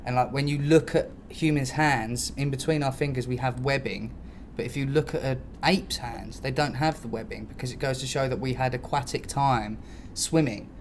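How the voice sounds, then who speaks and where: A man's voice: medium pitch, steady tone, moderate pace, few pauses. A man co-hosting a comedy podcast, chatting casually in a rambling, slangy, sweary way. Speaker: podcast co-host. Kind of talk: casual comic podcast chat. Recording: clear background noise.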